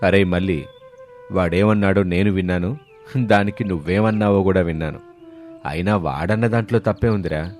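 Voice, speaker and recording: A man voicing a stern but fair village landlord in an animated moral story. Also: low voice, normal speed, pauses often, pitched below the performer's own voice, lightly acted. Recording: music behind the voice.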